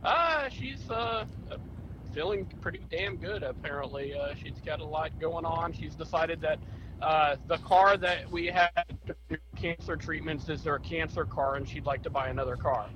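A man's voice: on the high side, normal speed. A man co-hosting a casual podcast chat from a moving car, easygoing and candid with frequent fillers. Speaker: podcast co-host. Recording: muffled audio with road noise from a car.

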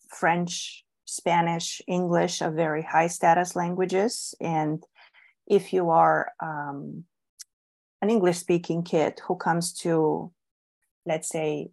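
A woman's voice: on the low side, creaky, a little mellow. A woman from Bulgaria, Bulgarian her first language, answering interview questions in a thoughtful way with many ums and restarts. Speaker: guest. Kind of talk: relaxed podcast interview.

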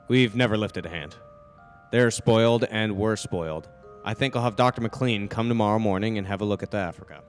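A man playing a dismissive, firm husband and father in a radio play. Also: on the low side.

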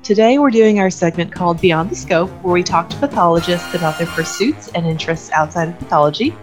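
A woman's voice: lowish voice, brisk. A woman hosting a podcast interview, friendly and enthusiastic, reading a scripted introduction. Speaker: podcast host. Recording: dull lo-fi audio with faint music.

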